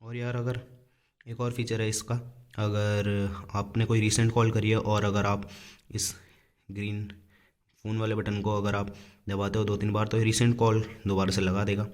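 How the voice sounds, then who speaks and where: A man's voice: on the low side, level tone, normal speed. A man giving a casual hands-on product demonstration in an informal, step-by-step explanatory manner. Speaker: vlogger reviewing a product.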